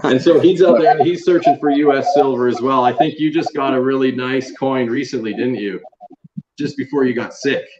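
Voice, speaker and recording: A man's voice: mid-range voice, brisk, muffled recording, a loud crowd. A man hosting a casual live chat with guests over a video call, talking in an informal, encouraging way and calling people dude. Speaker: livestream host. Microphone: internet video call.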